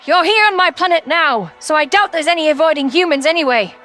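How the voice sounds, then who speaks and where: A woman's voice: sits high, brisk, bright, forceful tone, faint music behind, pitched above the performer's own voice. A woman voicing a wary tentacled alien guard, nervous and hesitant yet defiant.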